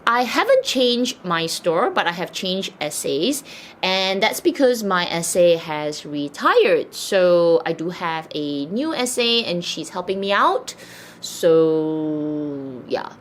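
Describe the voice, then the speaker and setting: A woman's voice: lowish voice, slight background noise. A woman from Malaysia, chatting casually as she answers viewer questions, laughing often between thoughts. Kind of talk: casual Q&A chat.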